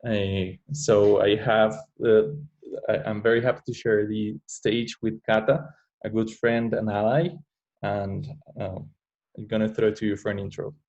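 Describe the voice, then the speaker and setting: Low-voiced man from Colombia, halting. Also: flat delivery, a little mellow. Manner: halting, many fillers and restarts. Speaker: guest speaker, travel company founder. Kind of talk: webinar talk. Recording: dull lo-fi audio.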